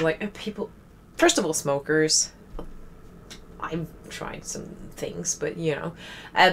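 A woman's voice: fairly low pitch, fairly bright tone, a little hiss or hum. A young woman with a Swedish accent, casually commenting while playing a game, chatty and rambling with wry asides. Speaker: let's play host.

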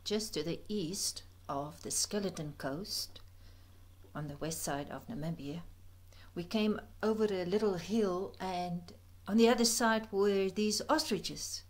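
A woman narrating a birding slideshow as a scripted voice-over, gentle and reflective, with soft breathy asides. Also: medium pitch, a little slow. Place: voice-over recorded apart from the pictured outdoor scenes.

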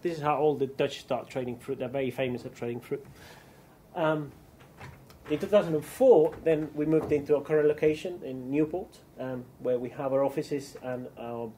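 A man's voice: medium pitch, steady tone, moderate pace, warm tone, a little hiss or hum, poor audio. A man from Chile with a Chilean accent, giving a keynote business presentation with frequent ums and restarts. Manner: hesitant, many ums and restarts. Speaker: keynote speaker.

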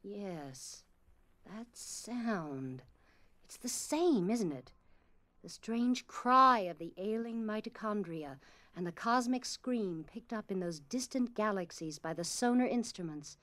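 A woman's voice: medium pitch, lively intonation, takes it slowly, a bit bright, no room sound. A woman playing a thoughtful, troubled scientist mother in an audio drama.

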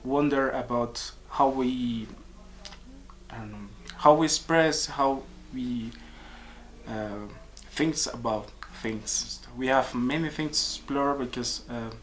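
Young peruvian man, pauses to find words, faint background hiss. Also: medium pitch, a bit bright, poor audio. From Peru. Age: 20s. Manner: searching for words, halting restarts. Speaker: composer and musician. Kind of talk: casual interview answers. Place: small office with pictures on walls.

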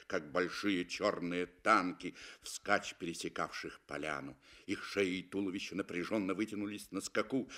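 A man with a fairly low voice reads literary prose as a 1960s radio narrator. He is deliberate and expressive, leaving dramatic pauses between phrases.

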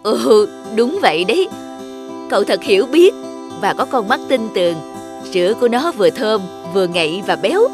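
A woman's voice: mid-range voice, big pitch swings, moderate pace, over music. A woman voicing a kindly, proud old farm woman in a cartoon, with a hearty, generous manner. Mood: pleased, generous. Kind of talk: scripted cartoon dubbing.

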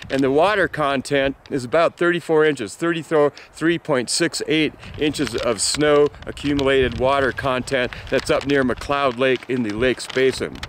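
A man's voice: on the high side, a little hiss or hum. An American man in his sixties giving a casual local weather and outdoor report, chatty and rambling as he reels off facts. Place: outdoors in an open meadow by trees.

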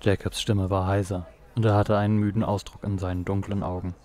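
A male narrator reads a noir audio drama from a script. His voice is on the low side, in the manner of detective fiction storytelling. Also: flat delivery, brisk, darkish tone.

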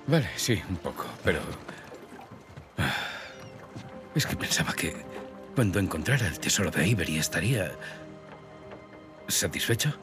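A man's voice: sits low, very animated, moderate pace, long pauses, over music. A man voicing a restless, roguish older-brother adventurer in a dubbed game, with a laid-back manner and a European Spanish accent. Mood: restless, candid. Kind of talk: Spanish dub of cinematic game drama.

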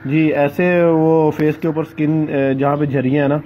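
A man hosting a gardening vlog, talking casually outdoors among potted plants and pausing often with filler sounds, recorded on a handheld phone. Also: a little high, flat delivery, dull audio, a little hiss or hum. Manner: hesitant, many filler sounds and pauses. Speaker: gardening channel host.

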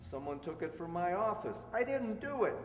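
A man's voice: high voice, sing-song, normal speed, a little hiss or hum. A man acting the part of an earnest middle-aged doctor in hiding, in an old-time radio drama, reading on stage. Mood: earnest confession. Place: stage in a community hall. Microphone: stand microphone. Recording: echoey hall stage, distant reverberant sound.

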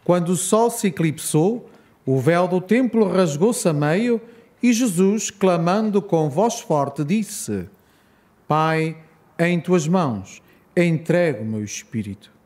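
A man with a European Portuguese accent leading a rosary, reciting solemn prayers in a measured, reverent way. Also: sits high, expressive, a little mellow.

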